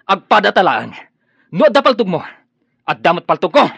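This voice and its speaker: A man's voice: high voice, very animated, moderate pace, gravelly, a bit bright, breathy edge, no room sound, dull audio, exaggerated voice. A Filipino man acting in a radio drama as a vengeful, hot-headed armed son. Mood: taunting, furious.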